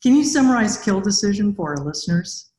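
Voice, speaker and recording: A woman's voice: medium pitch, lively intonation, fairly quick, mellow. An older woman hosting a conversational podcast interview as a robotics researcher. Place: indoor room with bare walls. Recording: echoey room on video call.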